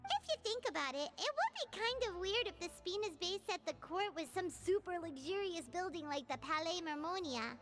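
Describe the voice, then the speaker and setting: A tiny chirpy fairy companion from a video game, talks about herself in the third person. The voice sits extremely high and squeaky, leaping up and down in lively swoops. Game music plays clearly under her lines. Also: brisk, hardly any pauses. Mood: chatty.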